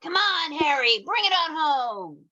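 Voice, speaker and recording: A woman playing a spirited, devoted high school girl in a script table read, with expressive, emotional delivery. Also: a little slow, lo-fi sound, full character voice. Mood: feisty, urging.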